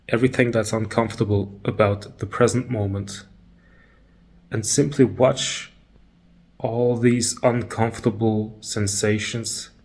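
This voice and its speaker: Male meditation guide, lowish voice, very slow pace, calm, long pauses, short phrases.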